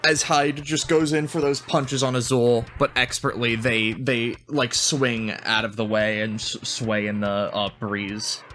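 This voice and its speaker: A man's voice: medium pitch, little pitch movement, unhurried, few pauses, fairly bright tone. A man chatting casually as a player in a tabletop roleplaying game.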